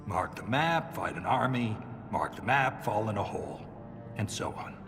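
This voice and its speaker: An actor playing a battle-weary dwarven lieutenant in a video game, with a dry, blunt, sardonic delivery. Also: male voice, fairly low pitch, expressive, moderate pace. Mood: dry, teasing. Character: wry, battle-weary male dwarven lieutenant, veteran soldier. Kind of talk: video game cutscene acting. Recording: long cavernous reverb, music underneath.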